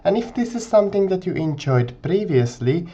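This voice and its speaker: A man's voice: high voice, moderate pace. A man with a cold, giving casual, earnest commentary in a gaming video. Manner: earnest, explanatory, animated rise and fall. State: has a cold. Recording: dull lo-fi mic recording.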